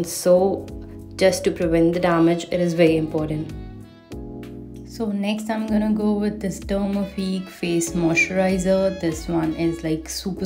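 A young woman in her twenties chatting enthusiastically through her home shower and skincare routine as a beauty vlogger. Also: fairly low pitch. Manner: chatty, enthusiastic about products. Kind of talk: casual routine vlog voice-over. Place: bathroom at home. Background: faint music.

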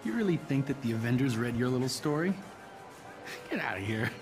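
A man's voice: mid-range voice, lively intonation, brisk, natural voice. An actor playing a sneering, dismissive teenage boy bully in a video game, scornful in manner. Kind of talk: cinematic video game acting. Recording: music behind the voice.

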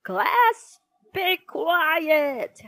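Lady doing a bossy glaring teacher lady for a kids story, scolding, high voice, really up and down. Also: lively intonation, slow pace, a bit bright, no room sound. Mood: stern, exasperated. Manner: scolding, commanding. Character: stern, snappish, glaring female schoolteacher.

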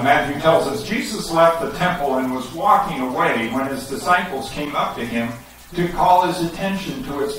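A man's voice: medium pitch, a bit bright, slight background noise. A middle-aged man preaching a sermon and reading scripture aloud in a church sanctuary. He speaks through a headset mic, gestures as he talks and rises to emphatic questions. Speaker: pastor. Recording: echoey sanctuary, recorded from a distance.

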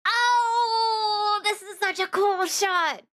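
A woman giving casual, excited reaction commentary as a streamer, thinking aloud haltingly with interjections. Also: very high-pitched, background noise. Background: music from the watched video.

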